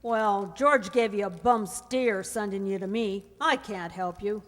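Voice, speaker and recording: A woman's voice: mid-range voice, a little slow, natural voice. A woman acting in an old-time radio crime drama as a hard-boiled, wary small-time go-between, curt, skeptical and slangy. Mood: dismissive, guarded. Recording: long reverb, hall-like room.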